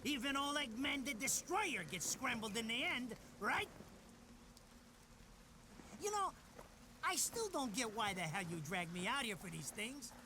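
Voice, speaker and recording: A man's voice: very high voice, very animated, measured pace, pauses often, noisy background, full character voice. An actor playing a squat, nervous, foul-mouthed wiseguy henchman in a video game, wisecracking and whiny. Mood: cocky, griping. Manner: wisecracking, whiny, profane, slangy. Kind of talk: animated video game cutscene acting.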